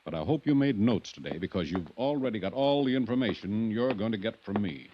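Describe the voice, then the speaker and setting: An actor playing a domineering, defiant horse farm owner, blunt and imperious, in old-time radio drama style. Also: male voice, lowish voice, animated, a little fast, background noise, natural voice. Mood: dismissive, stern. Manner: blunt, imperious, barking orders. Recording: muffled vintage radio recording.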